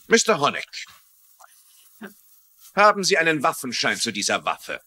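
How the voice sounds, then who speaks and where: An actor playing a brisk, officious and self-important police lieutenant in a dubbed TV mystery. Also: male voice, on the high side, wildly swooping pitch, pushed and bright, slightly rough, full character voice. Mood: interrogating. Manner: officious, insistent.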